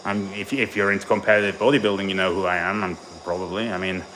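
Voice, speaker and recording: A man's voice: deep voice, steady tone, fast talker, fairly bright tone, a little hiss or hum. A man born in Tennessee and raised in Ireland, a bodybuilding coach chatting casually with his live-stream audience between sets at the gym. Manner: chatty, rambling, answers chat while training.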